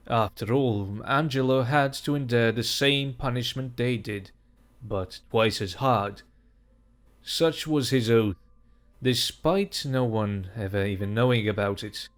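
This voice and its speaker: A man reading a dark fantasy story aloud as narrator, slow and measured. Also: mid-range voice.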